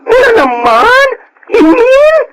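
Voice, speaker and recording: Casting: sassy young Filipino woman, affected and exclamatory, very swoopy high voice, code-switching radio drama cousin. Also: huge pitch swings, drawn-out words, a bit bright, a little hiss or hum, exaggerated voice, poor audio. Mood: scandalized. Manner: affected, exclamatory, mixes English into speech.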